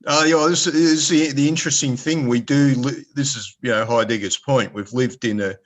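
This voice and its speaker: A man's voice: medium pitch, normal speed. A man in a group book discussion speaking reflectively and philosophically, with hesitant restarts.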